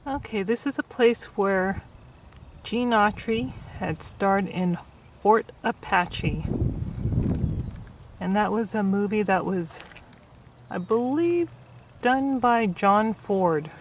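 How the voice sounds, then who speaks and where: A woman's voice: medium pitch, slow pace, pauses often. A woman chatting cheerfully on an outdoor walk, reading old signs aloud and commenting on the scenery. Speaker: vlog co-host. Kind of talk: casual travel vlog chat. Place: outdoors on hiking trails. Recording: outdoor camera audio with wind noise.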